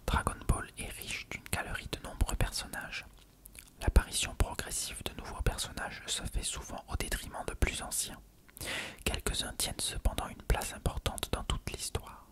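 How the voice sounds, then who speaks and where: An ASMR reader whispers a scripted text in a hushed, breathy, measured way. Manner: hushed, measured reading of an encyclopedia-style text.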